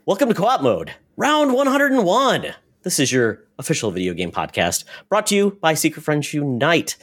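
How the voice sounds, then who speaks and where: A man's voice: on the high side, wildly swooping pitch, normal speed, fairly bright tone. A man speaking as a podcast host in a casual, chatty style. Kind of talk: casual podcast chat with sponsor read.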